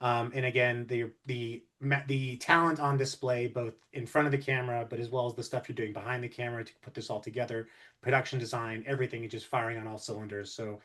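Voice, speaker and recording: A man's voice: mid-range voice, flat delivery, fast, pushed and bright. A man hosting an interview conversationally, talking in long, complimentary sentences. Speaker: interview host.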